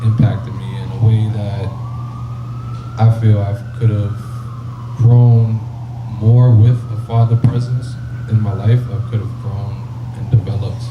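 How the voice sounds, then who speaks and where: A man speaking slowly and haltingly on a panel about his childhood. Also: fairly low pitch. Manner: slow, halting, soft-spoken. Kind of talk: personal testimony. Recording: dull echoey room with loud hum.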